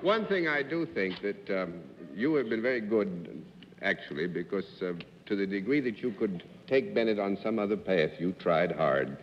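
A man's voice: on the low side, lively intonation, slight background noise. A middle-aged man moderating a live game show with teasing jokes, on a studio stage. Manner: playful, teasing, quick with jokes. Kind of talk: genial quiz-show moderating. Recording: dull lo-fi 1950s broadcast audio, long hall reverb, faint audience.

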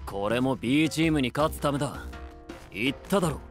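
An actor playing an arrogant, commanding young strategist in an anime voice drama. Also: male voice, medium pitch, expressive, normal speed, faint music behind, close to an everyday voice. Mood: haughty scheming. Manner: haughty, commanding. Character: arrogant, ruthless young warlord strategist commanding his squad.

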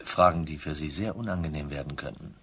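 A hard-nosed detective from a crime radio drama. His voice sits very deep with a husky grain. He is dry and keeps pressing. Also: fast talker, a bit bright, exaggerated voice. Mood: politely pressing. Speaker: narrator and lead actor. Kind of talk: German crime radio play acting.

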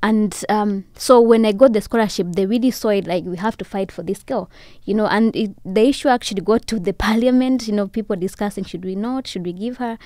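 A young woman from Rwanda, a podcast guest, telling her story in casual chat with soft laughs. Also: mid-range voice, fairly quick, hardly any pauses, no room sound, clean studio sound. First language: Kinyarwanda. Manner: warm storytelling with soft laughs and many "you know"s. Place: podcast studio, indoors. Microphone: studio boom mic with headphones.

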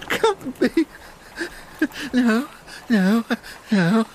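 A frail, obsessive old gentleman in a radio drama. The voice is very high and swoops about slowly, stammering and fretful, with some noise behind it. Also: lively intonation, heavily acted. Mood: distraught, stubborn.

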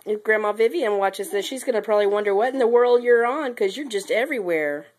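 A young mother, sick and speaking lower than usual, talking to her baby in a playful, sing-song way while filming in the nursery on a handheld camera. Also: a little fast. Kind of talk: home video baby talk. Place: baby's nursery, indoors.

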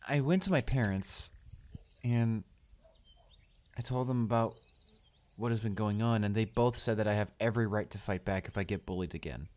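A young man acting a shy, anxious, bullied high school student in an audio roleplay, stammering and hesitant. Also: moderate pace, a little mellow, lightly acted. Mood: sheepish, subdued. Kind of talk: romantic audio roleplay, one-sided dialogue.

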